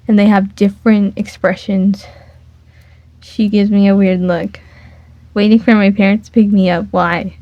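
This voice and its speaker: A woman's voice: medium pitch, dark tone, dry recording. A woman reading a fan dating sim aloud, rambling and stumbling over words, on a dull lo-fi recording. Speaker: fan game reader. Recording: dull lo-fi dry home mic.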